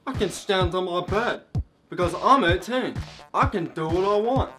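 A man's voice: high-pitched, lively intonation, normal speed, music in the background. An eighteen-year-old man vlogging in his bedroom, talking in a goofy, boastful, rambling way. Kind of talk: comedy vlog. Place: bedroom with gaming setup.

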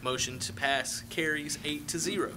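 A man's voice: on the high side, normal speed. A man chairing a council meeting as its president, speaking in formal procedural language in a large chamber. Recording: noisy council chamber room recording.

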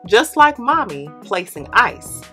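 A young woman, host of the channel, reading a children's picture book aloud in an expressive, animated way. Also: on the high side, takes it slowly, soft background music. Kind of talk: read-aloud storytelling. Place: indoor studio with plain orange backdrop.

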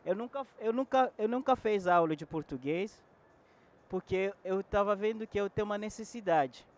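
A man's voice: high voice, moderate pace. A man in his thirties whose first language is French, speaking earnestly into a handheld mic at a panel in a large event hall, sometimes searching for words. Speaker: panel guest. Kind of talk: casual talk at a public panel.

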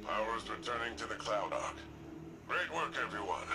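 Low-voiced, grumpy veteran warrior. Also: male voice, animated, normal speed, over music. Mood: grudging approval. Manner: terse, understated. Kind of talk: video game character dialogue.

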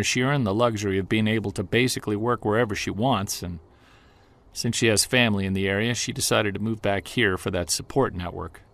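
An earnest Bigfoot hunter who narrates his own audio drama in the first person. He talks in a measured, steady way and pushes back at his doubters. His voice sits on the low side. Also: creaky. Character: earnest male Bigfoot investigator, defiant toward skeptics. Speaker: narrator and lead character.

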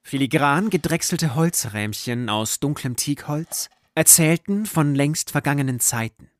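A man reading scripted literary narration for an audio drama in a measured, deliberate storytelling manner. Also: fairly high pitch, animated, dry recording.

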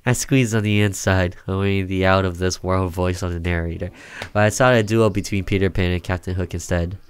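A man's voice: low voice, fairly even intonation, brisk, smooth. A man reading a horror visual novel aloud as a gaming video narration, in a storytelling style.

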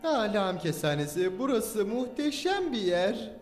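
A woman's voice: medium pitch, sing-song, a little slow, in a large hall, soft background music. A woman voicing a polite, easily amazed small male sidekick in a dubbed cartoon. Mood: eager.

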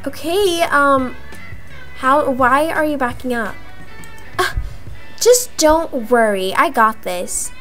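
A young woman acting out improvised roleplay dialogue for a video game, in an animated manner with drawn-out words. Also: high voice. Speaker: gaming video creator voicing roleplay characters. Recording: music behind the voice. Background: music.

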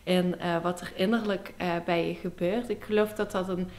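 A woman's voice: lowish voice, steady tone, darkish tone. Hesitant woman drama therapist. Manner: hesitant, with many pauses. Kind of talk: interview.

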